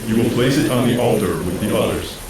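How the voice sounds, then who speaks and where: An actor playing a solemn, commanding spirit guide in a video game, speaking gravely and formally in an echoing, distant, noisy sound. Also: male voice, medium pitch, brisk, darkish tone, natural voice. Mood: solemn, instructive. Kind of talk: video game cutscene acting. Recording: echoing distant voice with clear noise.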